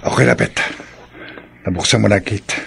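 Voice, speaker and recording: A man's voice: lowish voice, normal speed, gravelly, background noise. An actor playing a sly, greedy and menacing male burglar with a sneering manner in a radio drama. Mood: conspiratorial. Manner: sneering, threatening, stammers when startled.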